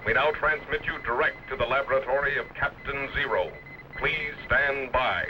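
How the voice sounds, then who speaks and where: An actor playing a formal, businesslike transmission announcer in a 1950s sci-fi serial. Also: male voice, mid-range voice, moderate pace, close to an everyday voice. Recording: dull vintage broadcast, clear noise.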